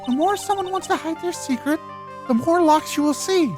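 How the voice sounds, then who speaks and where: A man voicing a polite, earnest little girl spirit medium for a video game, speaking in a refined, halting way. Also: very high voice, music in the background. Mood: solemn, instructive. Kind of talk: video game dialogue voice-over.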